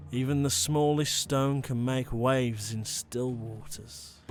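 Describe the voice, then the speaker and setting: Male actor as former vampire turned hunter, medium-pitched, slow delivery, cool teasing menace, audio drama, music bed. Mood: playful menace. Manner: unhurried, coolly conversational, teasing. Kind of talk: ASMR audio roleplay drama.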